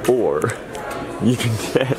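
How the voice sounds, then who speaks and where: A man's voice: mid-range voice, lively intonation, takes it slowly, crowd noise. A young American man chatting casually as a vlogger, slangy and jokey, into a handheld camera. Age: young adult. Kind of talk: casual excited vlog chat. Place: outdoors on streets and in shops. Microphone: handheld camera at arm's length.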